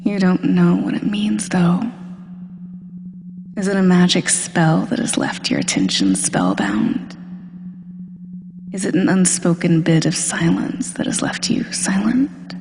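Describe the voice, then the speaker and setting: Woman playing a slow, reverberant supervillain hypnotist. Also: on the low side, lively intonation, a little mellow, slight background noise. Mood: hypnotic, confident. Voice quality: breathy, often dropping to a whisper. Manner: slow, deliberate, hypnotic, commanding. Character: smug, domineering female supervillain hypnotist, poised and teasing. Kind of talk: slow scripted hypnosis roleplay audio. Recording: long hall-like reverb.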